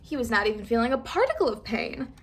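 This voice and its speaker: A woman reading a children's story aloud as an expressive narrator. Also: on the high side, quick pace.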